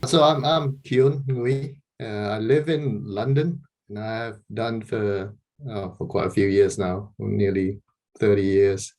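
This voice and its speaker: A man's voice: lowish voice, fairly even intonation, takes it slowly. Hesitant middle-aged man from Malaysia on a video call. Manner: hesitant, many restarts and repeated words, soft laughs. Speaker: interview guest, street photographer. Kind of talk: casual interview over video call.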